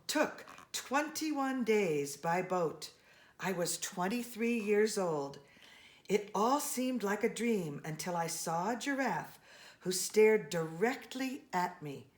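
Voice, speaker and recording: A woman reading a children's storybook aloud in an expressive, animated way, speaking to young listeners. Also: medium pitch. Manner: expressive, with gasps and brief asides to listeners.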